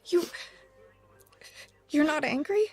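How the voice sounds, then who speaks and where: A woman's voice: high voice, slow pace, soft background music. An actress playing a teenage girl model who goes from brash teasing to broken pleading in an audio drama. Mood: despairing. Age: teen figure. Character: teenage girl model, cocky turned shattered.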